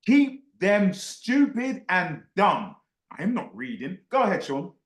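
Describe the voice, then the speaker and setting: A man leads a live online discussion in a high, very animated voice. He is emphatic and insistent, often repeating phrases to press his point. The sound is echoey and roomy. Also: takes it slowly. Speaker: host of an online book club discussion.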